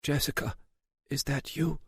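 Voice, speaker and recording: A man's voice: on the high side, steady tone, unhurried, a bit bright, slightly rough, dry recording, clean studio sound, voice pushed up, exaggerated voice. A man voicing a young German hacker with a German accent, slurring and groggy.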